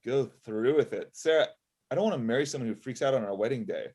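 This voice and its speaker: A man's voice: mid-range voice, fast, a little mellow, close to an everyday voice. A man playing a self-assured matchmaking boss with a casual manner in a comedy table read on a video call. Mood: resigned. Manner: casual, offhand. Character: breezy, self-assured male matchmaking business owner. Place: indoor room at home. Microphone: video call, sitting back from laptop.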